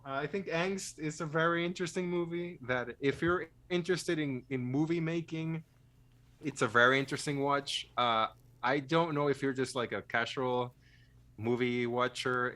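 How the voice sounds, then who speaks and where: A man with a medium-pitched voice co-hosts a casual film podcast. He talks in a loose, rambling way with a joking, self-deprecating streak. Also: a bit bright. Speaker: podcast host.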